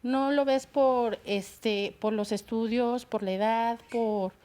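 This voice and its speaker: A middle-aged woman speaks in a fairly high voice as a talk show guest. She talks in a hesitant, halting way, restarting her sentences. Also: fairly even intonation, dark tone. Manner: hesitant, many fillers and restarts. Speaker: guest, bilingual secretary. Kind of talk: personal testimony on a talk show.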